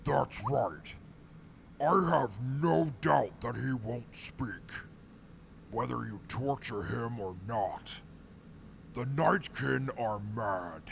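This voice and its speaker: A man playing a gruff, blunt defector soldier who talks in a slow, gravelly way. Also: mid-range voice, expressive, slight background noise, exaggerated voice.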